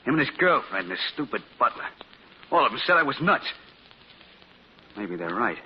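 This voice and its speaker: An actor playing a rough male crook with street talk who claims to see the future, on a muffled 1940 radio broadcast. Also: medium pitch, sing-song, moderate pace. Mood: frightened. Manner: street talk, jumpy then sly.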